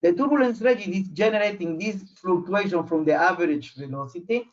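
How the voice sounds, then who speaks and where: A man who is not a native English speaker, giving an explanatory technical presentation in a halting way, searching for words. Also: high-pitched. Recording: muffled, dull online audio.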